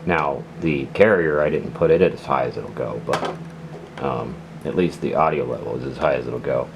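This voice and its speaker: Low-pitched man over clear noise. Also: normal speed. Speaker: radio hobbyist reviewer. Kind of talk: casual unscripted product demonstration.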